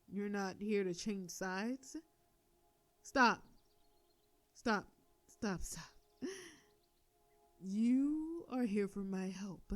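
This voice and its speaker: A woman playing a proud, sardonic female telepathic villain with a hidden soft side, in a theatrical scripted audio drama. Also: medium pitch, no room sound. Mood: incredulous, stern. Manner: theatrical, indignant then soothing.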